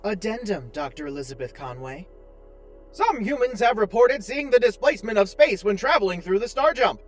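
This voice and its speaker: Guy doing a pedantic spaceship ai tour guide, high voice, formal and precise, music behind. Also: lively intonation, normal speed. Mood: correcting. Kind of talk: scripted announcement and narration.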